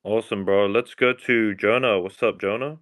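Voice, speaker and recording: A man with a low, smooth voice hosts a live audio chat. He keeps things moving with brief, upbeat lines as he calls on each speaker. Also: steady tone, normal speed, fairly bright tone. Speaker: host moderating callers.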